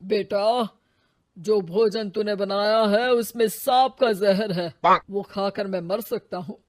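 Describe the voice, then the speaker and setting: Man playing a slow, wise old holy hermit. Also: high voice, steady tone, a little mellow, no room sound, studio quality. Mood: calm, knowing. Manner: slow, soothing, solemn. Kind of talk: dramatised moral storytelling.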